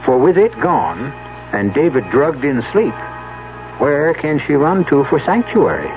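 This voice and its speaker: A man hosting a suspense radio drama with ominous, theatrical, measured narration. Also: a little high, very animated. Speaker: host and narrator. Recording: dull old radio broadcast recording. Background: music.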